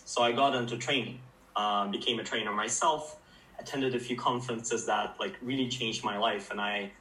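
A young man from Ukraine, a startup founder, talking casually and thoughtfully with frequent pauses and fillers. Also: lowish voice, steady tone, pushed and bright, recorded from a distance, low-quality recording. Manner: hesitant, many fillers and restarts, thoughtful. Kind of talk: casual interview conversation.

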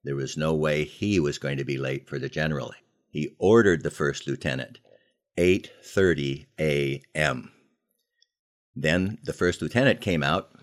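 An older American man telling personal stories in a conversational, folksy way, leaning deliberately on key phrases, as a podcast host. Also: very deep voice. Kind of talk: conversational storytelling with moral lessons.

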